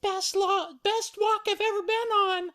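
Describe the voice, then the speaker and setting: A woman's voice: very high voice, fairly even intonation, unhurried, a bit bright, voice pushed up. A woman voicing a fussy, fretful mother bear in a children's story, fluttery and repeating herself. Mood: pleased. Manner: fluttery, repetitive, genteel fretting.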